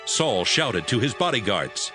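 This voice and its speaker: A man's voice: on the low side, very animated, a little slow, music in the background. A man giving scripted narration of a Bible story as the narrator.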